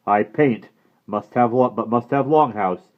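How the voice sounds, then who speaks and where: A man's voice: medium pitch, a little slow, phone-quality sound, pitched above the performer's own voice, close to an everyday voice. A man voicing a tiny, stern, proud male warrior who barks short broken commands. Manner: clipped broken commands, loud and gruff.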